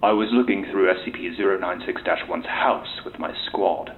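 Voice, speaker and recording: A man's voice: low-pitched, steady tone, normal speed, lightly acted. A man playing a bitter, cynical, foul-mouthed military officer being interviewed in an audio drama. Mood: weary, bitter. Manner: cynical, exasperated, sweary. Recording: tinny lo-fi interview tape with hiss.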